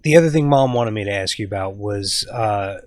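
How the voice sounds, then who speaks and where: A man's voice: on the low side, fairly quick, a little mellow, dry recording, studio quality. A man interviewing his grandfather in a casual family chat, asking loose, curious questions full of fillers.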